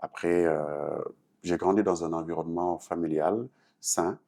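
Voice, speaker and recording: A man's voice: low-pitched, fairly even intonation, unhurried, mellow. A Senegalese man answering interview questions in French-speaking West African style, explaining things earnestly with a Senegalese accent. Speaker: talk show guest, artist and activist.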